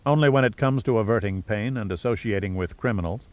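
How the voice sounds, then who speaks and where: A man's voice: on the low side, quick pace, no room sound, dull audio, natural voice. A man voicing a principled, defiant male hero in an audiobook, blunt and accusing. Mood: dry defiance. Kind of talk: audiobook character dialogue.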